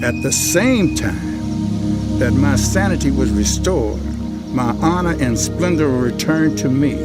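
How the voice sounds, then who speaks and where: A proud, majestic king in an audio Bible drama. He declaims regally in a medium-pitched voice, with loud music swelling behind him.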